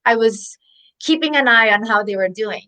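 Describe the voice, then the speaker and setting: A woman's voice: fairly high pitch, lively intonation, normal speed, sharp tone. A woman in her thirties from the Philippines, a guest telling her story in a casual, chatty interview with many restarts.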